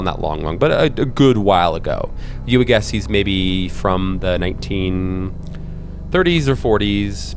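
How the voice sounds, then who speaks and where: Low-voiced man narrating tabletop horror. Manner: halting, searching descriptions. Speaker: game master. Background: background music.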